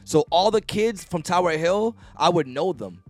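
A man's voice: high-pitched, animated, moderate pace, soft background music. A man hosting a casual podcast chat, loose and joking and swearing freely. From Lawrence, Massachusetts. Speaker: podcast host.

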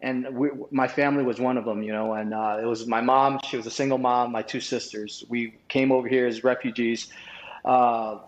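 A man's voice: mid-range voice, fairly even intonation, moderate pace. A man from Vietnam in his thirties, a podcast guest telling his life story casually, rambling with many restarts and fillers. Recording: roomy, dull lo-fi sound.

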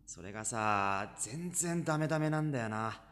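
Man acting boyish high school guy, very expressive. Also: normal speed, lightly acted. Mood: discouraged. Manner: casual, blunt, teasing. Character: frank, boyish high school trumpet-club boy, self-deprecating. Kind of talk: Japanese audio drama acting.

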